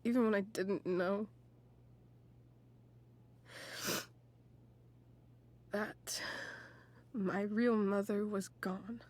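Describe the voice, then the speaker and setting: A woman playing a disillusioned, hurt teenage girl in a video game, speaking softly and pensively, often close to a whisper. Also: mid-range voice, fairly even intonation. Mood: pensive, vulnerable. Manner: slow, halting, pensive delivery. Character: disillusioned, wounded teenage girl confiding in her friend. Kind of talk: naturalistic video game voice acting.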